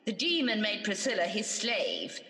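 A woman's voice: medium pitch, wildly swooping pitch, moderate pace, bright, forceful tone. A woman playing a kindly, bustling good fairy in a radio pantomime. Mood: earnest. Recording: echoey reverberant hall.

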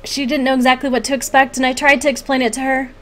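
Chatty, higher-voiced woman vlogging at home. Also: flat delivery, quick pace, a little hiss or hum. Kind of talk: casual chat to camera. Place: small home room, indoors.

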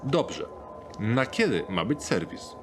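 Man acting a courteous shopkeeper with animated intonation. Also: mid-range voice, takes it slowly, over music. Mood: helpful. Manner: courteous, businesslike, eager to please. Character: polite, sales-minded male locksmith shopkeeper. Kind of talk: acted dialogue in a dark fantasy audio drama.

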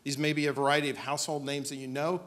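A man giving a business pitch presentation as a startup presenter, speaking on stage into a podium microphone. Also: flat delivery, fast, a bit bright. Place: on stage in a dim auditorium.

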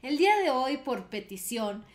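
A woman's voice: high-pitched, sing-song, unhurried. A young woman from Venezuela with a light Venezuelan accent, hosting a podcast and explaining things thoughtfully, with many fillers, from a small bedroom streaming setup. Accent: Venezuelan, softened. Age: young adult. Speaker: podcast host. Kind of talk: casual reaction commentary.

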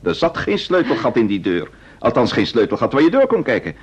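Husky, animated gentleman detective. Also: mid-range voice, fairly quick. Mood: brisk, indulgent. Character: assured amateur detective husband, brisk, gently patronising. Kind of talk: vintage Dutch radio play acting. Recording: dull vintage radio drama recording.

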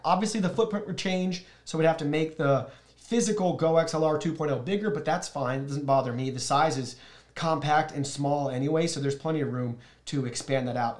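Higher-voiced man giving an upbeat review. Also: darkish tone. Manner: casual, enthusiastic, addresses viewers as guys. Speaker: product reviewer and streamer. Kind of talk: upbeat product review to camera. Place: small plain indoor studio, seated at a desk.

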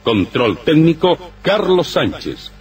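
A man's voice: on the high side, very animated, takes it slowly. A man announcing an old radio drama in a theatrical, declamatory style. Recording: old radio broadcast with music.

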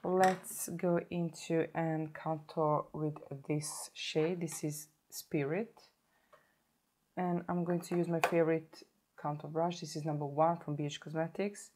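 Woman in her thirties, hoarse from a sore throat, lowish voice; a chatty beauty vlogger talking close to the camera. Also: flat delivery, unhurried, a little mellow, a little hiss or hum. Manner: chatty, self-correcting, stops to show products. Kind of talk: casual makeup tutorial. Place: indoor home filming set.

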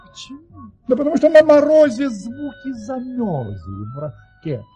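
An actor playing a genial baron who spins tall tales in an audio play, savouring them with dramatic pauses. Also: male voice, extremely high pitch, big pitch swings, mellow, soft background music. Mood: excited, playful. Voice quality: husky.

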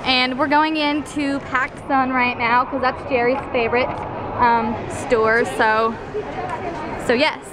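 A young American woman vlogging in a loud, rambling, informal way as she walks and chats to a handheld camera. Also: high voice, flat delivery, takes it slowly. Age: young adult. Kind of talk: casual chat to camera while walking. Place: large indoor shopping mall, walking. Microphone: handheld camera at arm's length. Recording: noisy, busy shopping mall crowd.

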